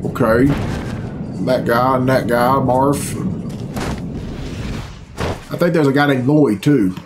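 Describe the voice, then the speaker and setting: A man's voice: medium pitch, fairly even intonation, slow pace, noisy background. A middle-aged man giving casual, profane reaction commentary in a sarcastic, bemused grumble. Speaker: reaction video host. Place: small bedroom, indoors. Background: video game cutscene music.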